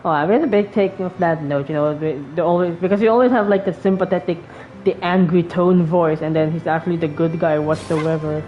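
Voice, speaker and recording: A woman's voice: fairly low pitch, fairly even intonation, fairly quick, soft background music. A woman giving casual, rambling gameplay commentary in an informal way. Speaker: gameplay streamer. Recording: dull lo-fi mic over game noise.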